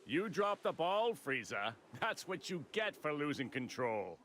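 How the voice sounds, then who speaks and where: A man's voice: on the high side, big pitch swings, unhurried, slight background noise. A man playing an arrogant, menacing villain in an anime fighting game, sneering and self-assured. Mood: taunting. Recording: loud music behind the voice.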